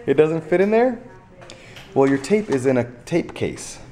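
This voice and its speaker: A man's voice: on the high side, big pitch swings, moderate pace. A young man filming his family at home, playfully quizzing his daughter with questions. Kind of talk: casual family vlog chat. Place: home kitchen, indoors. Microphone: handheld camera. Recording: noisy home camera audio.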